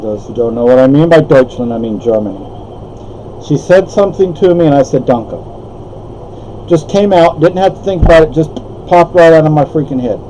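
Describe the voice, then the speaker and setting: Older man, Spanish first language, warm low-key delivery, wanders off on tangents and restarts his sentences. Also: expressive, moderate pace, a little hiss or hum, poor audio. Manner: meandering, with frequent restarts and asides. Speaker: vlogger. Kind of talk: rambling personal monologue.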